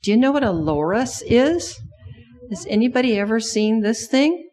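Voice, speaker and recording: A woman's voice: on the high side, lively intonation, normal speed, a little mellow. A middle-aged woman who grew up in Thailand and speaks Thai natively, telling a children's sermon story and asking the kids many questions.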